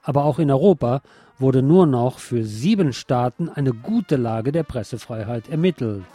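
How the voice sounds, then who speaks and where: Male radio presenter, mid-range voice, scripted delivery over clear background music. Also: mid-range voice, expressive, normal speed, warm tone. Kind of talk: scripted news reading, later live eyewitness report.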